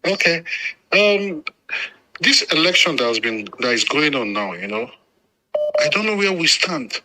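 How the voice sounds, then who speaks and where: A man's voice: a little high, big pitch swings, a little slow, bright, forceful tone, poor audio. A man from Lagos with a Nigerian accent, calling a radio phone-in, speaking emphatically and spontaneously with repetition and some Pidgin. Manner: agitated, emphatic, repeats himself.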